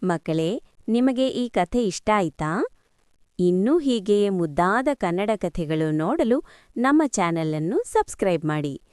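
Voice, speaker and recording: A woman delivers a scripted announcement for young listeners. Her voice is clean and smooth with wide, lively pitch movement, recorded very dry. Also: a little slow, no room sound. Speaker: channel announcer. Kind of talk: scripted outro addressed to children.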